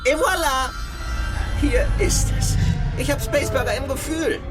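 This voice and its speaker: An actress playing a greedy, bossy, ever-hungry space traveller in a children's radio play, declaiming theatrically. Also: female voice, sits high, unhurried. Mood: bossy, triumphant. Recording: loud music behind.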